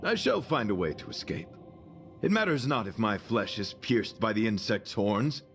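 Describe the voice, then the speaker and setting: A man's voice: lowish voice, animated, normal speed, a bit bright, faint music behind. An actor playing a chivalrous young male knight devoted to beauty, speaking in a formal, flowery and solemn way in a video game. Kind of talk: video game character dialogue.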